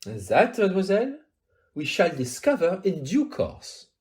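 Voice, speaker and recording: A courteous, self-assured detective played by an amateur actor. The delivery is theatrical and precise, with a very animated melody that rises and dips, at a middling pitch. It belongs to an audio mystery play. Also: a little slow, breathy edge, exaggerated voice. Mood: soothing, assured. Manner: theatrical, courteous, sprinkles in French words.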